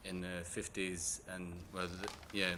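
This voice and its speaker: Very low, flat-voiced man in the audience. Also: measured pace, noisy background. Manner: rambling, loose, self-correcting. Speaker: audience member asking a question. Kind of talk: casual audience remark.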